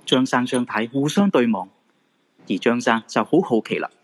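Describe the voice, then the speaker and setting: A man's voice: mid-range voice, animated, moderate pace, breathy edge. A man with a Hong Kong Cantonese accent narrating a supernatural radio drama as a storyteller. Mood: suspenseful storytelling.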